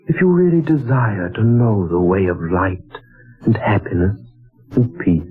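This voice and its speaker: A solemn old mystic stranger. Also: male voice, fairly low pitch. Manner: slow, grave, measured pronouncements. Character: solemn older male mystic stranger, patient and wise. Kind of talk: 1940s radio acting. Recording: narrow tinny old radio broadcast.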